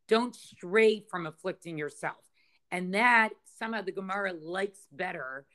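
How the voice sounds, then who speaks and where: A woman's voice: fairly low pitch, a bit bright. A woman teaching a study group through a text, thinking aloud with frequent checks and restarts. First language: English. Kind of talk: explaining a text passage.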